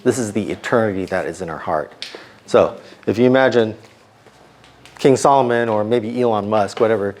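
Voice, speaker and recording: Middle-aged male speaker, a little hoarse, preaching to students in a relaxed, talky way. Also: lowish voice, normal speed, long pauses. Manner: conversational, many ums and restarts. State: slightly hoarse. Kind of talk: informal sermon to students.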